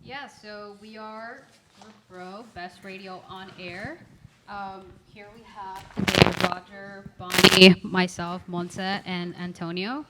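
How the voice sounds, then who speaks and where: A woman's voice: medium pitch, flat delivery, slow pace, heavy background noise. A woman student casually introducing a group and its play.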